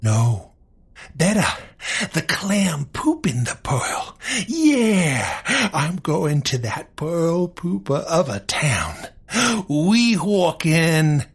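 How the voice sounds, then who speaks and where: An actor playing a corrupt, lazy old police sergeant with a creaky voice in a comic audio drama. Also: male voice, a little high, sing-song, very slow, full character voice. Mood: dreamy, wistful. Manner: dismissive, sarcastic, drawling.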